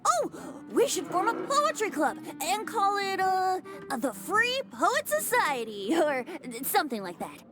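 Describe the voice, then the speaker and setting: A woman's voice: very high-pitched, very animated, unhurried, hardly any pauses, music in the background, heavily acted. A woman playing a cheerful, mischievous and boyish young bard in a video game, breezy and playful with flowery phrasing. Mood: delighted, playful.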